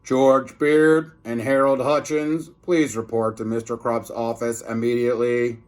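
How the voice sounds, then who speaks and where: A man's voice: mid-range voice, flat delivery, a little slow, darkish tone, close to an everyday voice. A man voicing a stern, punitive male school principal in a children's storybook, with an emphatic, bossy manner. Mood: stern, accusing.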